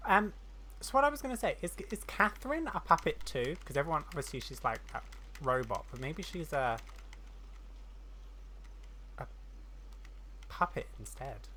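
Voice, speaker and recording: A woman's voice: low voice, animated, moderate pace, pauses often, a bit bright, a little hiss or hum. A woman giving casual gameplay commentary, hesitant and thinking aloud as she goes. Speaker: game streamer.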